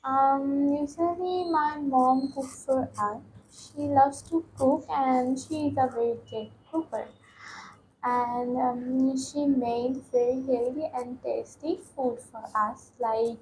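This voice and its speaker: Casting: teen girl, high voice, slow halting answers, faint background hiss. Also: darkish tone, low-quality recording. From Bangladesh. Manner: hesitant, frequent ums and pauses. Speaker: test candidate. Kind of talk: mock speaking exam answers.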